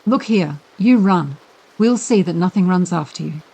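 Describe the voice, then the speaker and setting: A woman's voice: lowish voice, animated, normal speed, dark tone, slightly rough, low-quality recording. A woman voicing a bold young boy who speaks curtly and gives orders. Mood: firm. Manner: curt and commanding. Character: bold, brusque young boy, leader of the children.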